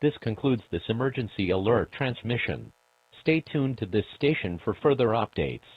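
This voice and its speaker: A man delivering a formal scripted emergency broadcast as an announcer, solemn, measured and authoritative. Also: mid-range voice, very animated, slight background noise. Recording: dull lo-fi broadcast audio.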